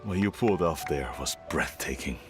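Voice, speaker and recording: An actor playing a reserved, proud father who talks in a gentle, halting way with awkward chuckles. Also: extremely low pitch, music in the background. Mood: earnest. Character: gruff older father, reserved but proud. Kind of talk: video game voice acting.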